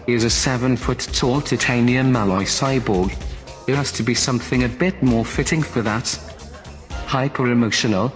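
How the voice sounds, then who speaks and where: A testy underworld fence from a science-fiction drama, acted by a man. He is sarcastic and grumbling, with an ordinary male pitch, and music plays clearly behind him. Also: normal speed, close to an everyday voice. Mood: exasperated. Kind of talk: science-fiction film dialogue.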